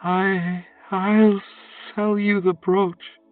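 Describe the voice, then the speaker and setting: A man voicing a frightened young beggar woman, timid and pleading, who talks in a halting stammer. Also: sits high, little pitch movement, dry recording, distant mic, narrow, tinny audio, soft background music, pitched above the performer's own voice. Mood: anxious, reluctant.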